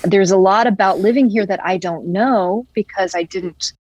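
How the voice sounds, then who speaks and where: A woman's voice: medium pitch, normal speed, darkish tone, dry recording. A young woman from West Virginia, raised in Florida, talking reflectively as a podcast guest. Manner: reflective, many "like" fillers. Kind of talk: casual conversation.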